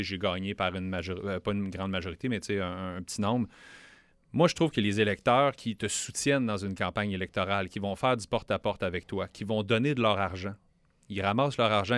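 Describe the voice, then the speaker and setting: Earnest Quebec man, low dry voice. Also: fairly bright tone, no room sound. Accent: Quebec French. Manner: earnest, repeats words when starting sentences. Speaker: federal member of parliament, guest. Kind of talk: radio interview, casual political talk.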